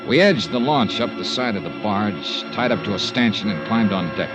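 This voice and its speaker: An American man acting a dry, wry hard-boiled insurance investigator in a 1950s radio detective drama, with clipped, deliberate delivery. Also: on the low side, expressive, dull audio, close to an everyday voice. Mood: grave, suspenseful. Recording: vintage radio recording with music behind.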